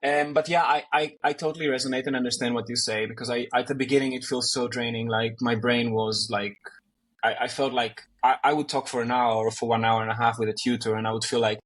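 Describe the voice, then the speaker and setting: A man's voice: medium pitch, steady tone, fast talker, a bit bright. A man from Greece, a native Greek speaker, chatting as an interview guest and talking with many fillers and restarts. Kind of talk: casual conversation.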